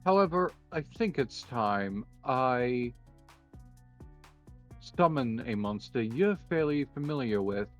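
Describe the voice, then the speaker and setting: A man playing a polite, measured rival duelist in an improvised anime-style card game roleplay, pausing between phrases. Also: mid-range voice, expressive, lo-fi sound, faint music behind. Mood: composed, intrigued.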